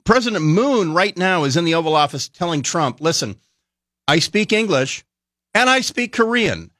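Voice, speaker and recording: A man's voice: high-pitched, sing-song, normal speed, a bit bright, no room sound, clean studio sound. A man giving blunt, sardonic commentary as a guest analyst on a talk radio show, often restarting his phrases.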